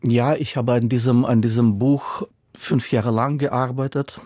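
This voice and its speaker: A husky-voiced man who speaks German as a second language, answering interview questions about his writing. He talks thoughtfully and stacks up repeated words for emphasis. The recording is close and dry. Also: medium pitch, steady tone, a little slow, a little mellow, dry recording. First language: not German. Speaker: author and interviewee. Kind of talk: radio interview.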